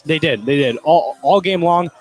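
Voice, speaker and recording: A man's voice: fairly high pitch, moderate pace, soft background music. A man giving conversational colour commentary and analysis during a live basketball game.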